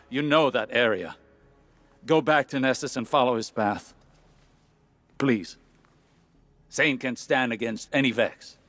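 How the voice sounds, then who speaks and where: A man's voice: mid-range voice, fairly bright tone, dry recording. An actor playing a grave older male mystic in a sci-fi video game, formal, urgent and worried.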